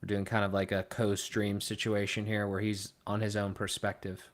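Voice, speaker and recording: A young man from Pittsburgh hosting a casual livestream, thinking aloud and trailing off into low mumbles. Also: monotone, moderate pace. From Pittsburgh, Pennsylvania. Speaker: livestream host. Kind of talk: casual live co-stream chat. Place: small room at a desk.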